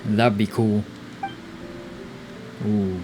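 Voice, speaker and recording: A man's voice: fairly low pitch, little pitch movement, very slow, background noise. A young man giving casual, laid-back game playthrough commentary in a musing way. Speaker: game streamer.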